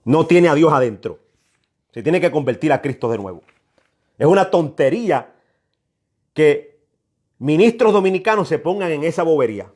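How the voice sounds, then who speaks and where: A man's voice: fairly high pitch, expressive, normal speed, pauses often, darkish tone. A man preaching a prophetic message, emphatic and admonishing, often repeating his phrases for weight. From New York, United States. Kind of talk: prophetic sermon, exhortation.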